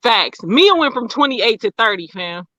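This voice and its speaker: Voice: mid-range voice, sing-song, moderate pace, sharp tone, no echo. A podcast host in casual chat who rambles loosely and leans on fillers as they lead into the next topic.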